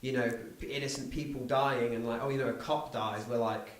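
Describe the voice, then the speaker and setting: A man's voice: mid-range voice, fairly even intonation, brisk, slight background noise. A man speaking as a podcast host in a casual film discussion. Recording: roomy echo, distant from mic.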